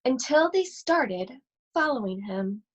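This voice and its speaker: A woman reading a children's storybook aloud expressively, with deliberate, suspenseful phrasing and drawn-out words. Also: high voice, animated. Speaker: school library read-aloud host.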